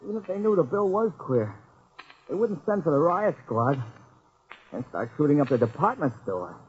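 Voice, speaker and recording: Cocky radio crook, tinny and highish. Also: male voice, very animated, normal speed. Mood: irritated, defensive. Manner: tough, slangy, brash. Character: cocky, slangy small-time male crook. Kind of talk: 1940s radio acting. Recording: tinny, noisy 1940s radio recording.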